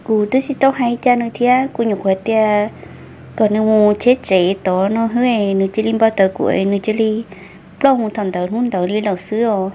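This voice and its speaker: A woman acts out a female character in a cartoon family drama. The dialogue is spoken at a middle pitch, over faint background noise. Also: steady tone, normal speed, dark tone, natural voice. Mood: conversational.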